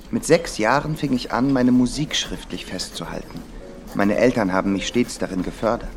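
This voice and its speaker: A man's voice: mid-range voice, creaky. An actor playing an observant composer reading out his travel diary in a radio play, reflective and precise, with vehicle noise behind him. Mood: matter-of-fact.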